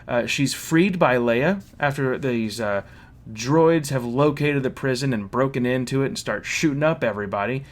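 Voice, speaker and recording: A man casually recapping a story as a reviewer, with an animated manner and mocking asides. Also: mid-range voice. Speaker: reviewer hosting a fan recap. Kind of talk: casual spoken plot recap and commentary.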